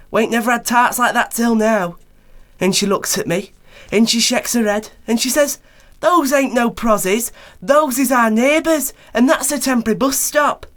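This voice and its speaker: A man's voice: very high voice, fairly bright tone. A man acts out a coarse working-class joker for a radio play. He rambles in slangy, colloquial talk, gossipy and blunt, forever tacking little questions onto his sentences. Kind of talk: radio play character acting.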